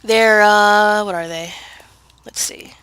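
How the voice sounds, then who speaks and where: Woman with a cold, congested and stuffy, bright forward tone, rambling gameplay commentary close on a dry mic. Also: medium pitch, steady tone, slow, smooth, dry recording. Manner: chatty, rambling, full of fillers and self-corrections. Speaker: gaming video creator.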